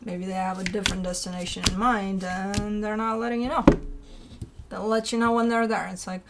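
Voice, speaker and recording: A woman's voice: medium pitch, little pitch movement, a little fast, heavy background noise. A woman hosting a tarot card reading, talking in an informal, rambling and emphatic way, repeating phrases and putting rhetorical questions to the listener. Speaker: tarot reader hosting her own show.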